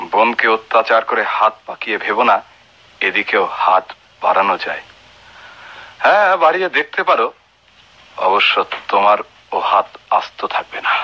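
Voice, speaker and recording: An actor in a Bengali radio play playing a proud, defiant, protective brother, speaking in a stern, biting way. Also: male voice, on the low side, sharp tone. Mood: coldly threatening. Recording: dull lo-fi radio drama recording.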